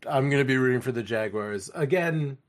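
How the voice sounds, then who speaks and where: A man's voice: medium pitch, expressive, a little fast. A man co-hosting a casual chat, agreeable and a little tentative, often chiming in briefly.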